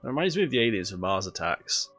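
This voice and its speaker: A man's voice: lowish voice, sing-song, fast, a bit bright, faint music behind. A man with a cold and a stuffy, nasal voice, chatting casually through a video game while streaming, thinking aloud with lots of ums.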